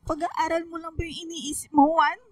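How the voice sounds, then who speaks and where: A woman's voice: extremely high pitch, flat delivery, brisk, dry recording. A woman acting an emotional young mother in a radio drama, pleading and scolding. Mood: hurt, upset. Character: young pregnant girlfriend, later a nagging, caring mother.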